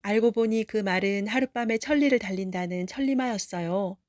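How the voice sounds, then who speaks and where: A woman's voice: medium pitch, fairly quick, darkish tone, no room sound, studio quality. A woman narrating a children's folktale audiobook as a storyteller, with explanatory storybook phrasing.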